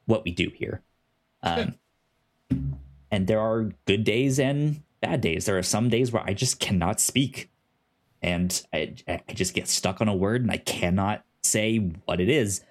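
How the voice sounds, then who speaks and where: A man hosting a podcast in casual chat, who stutters on first sounds and thinks out loud with many fillers. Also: on the low side, measured pace. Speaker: podcast host.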